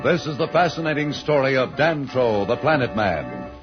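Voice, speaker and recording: A man's voice: medium pitch, big pitch swings, normal speed, over loud music. A man narrating a vintage radio serial as announcer, in a dramatic, grandiose manner. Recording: dull old radio recording.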